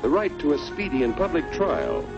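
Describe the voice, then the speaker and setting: An actor playing a stately, earnest American announcer, reading scripted narration in 1940s film style. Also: male voice, a little high, huge pitch swings, normal speed. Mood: solemn, proud. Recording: muffled old film soundtrack with music.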